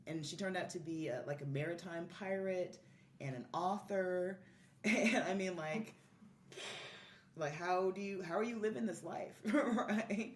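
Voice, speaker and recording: A woman's voice: on the low side, unhurried, recorded from a distance. A woman chatting casually and effusively as a podcast guest, telling stories in an easy conversational way. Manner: effusive, laughs easily. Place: live in-person podcast recording in a room. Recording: roomy echo, off-mic, faint noise.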